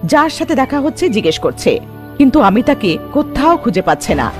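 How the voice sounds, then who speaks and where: Very high-pitched male story narrator. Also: big pitch swings, a little fast, soft background music. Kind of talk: dramatic scripted cartoon story narration.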